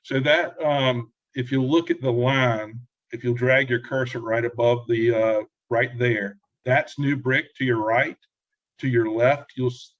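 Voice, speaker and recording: A man giving an informal technical walk-through of pictures at an online public meeting. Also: medium pitch. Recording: dull lo-fi online call.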